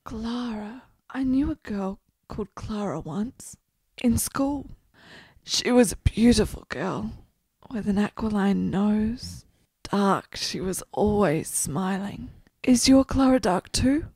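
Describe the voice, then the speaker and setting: Woman playing sickly melancholy lady, unhurried halting delivery, theatrical, very dry close sound, radio play. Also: mid-range voice, darkish tone, breathy edge, no echo. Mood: eager, probing. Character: frail, ailing gentlewoman, intense, self-deprecating, melancholy. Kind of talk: period radio drama dialogue.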